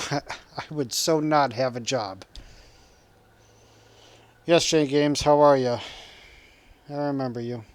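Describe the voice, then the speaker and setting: Male streamer, relaxed running chat with viewers over gameplay, mid pitch. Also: takes it slowly, long pauses. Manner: chatty, reacts to chat and game, laughs often. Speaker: game streamer.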